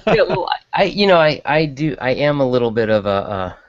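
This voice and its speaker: A man in a casual chat as a podcast guest, talking in a rambling, halting way and often interrupting himself. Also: medium pitch, sing-song, no room sound, dull audio.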